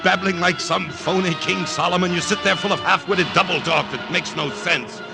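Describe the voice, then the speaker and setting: An actor playing a troubled, irritable amnesiac army doctor in 1940s radio drama style. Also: male voice, high voice, fairly even intonation, moderate pace, vocal fry. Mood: agitated, bitter. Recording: vintage radio drama with music behind.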